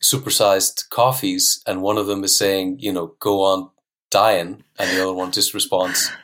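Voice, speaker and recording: A man, a laid-back, deadpan language teacher chatting as an interview guest. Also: deep voice, moderate pace. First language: Icelandic. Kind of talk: casual interview chat.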